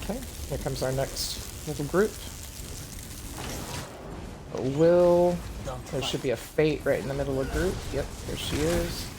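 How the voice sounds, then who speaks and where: A man calmly explaining tactics step by step as he leads a group of players through a game on stream. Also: on the high side, measured pace, long pauses, noisy background. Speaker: raid leader.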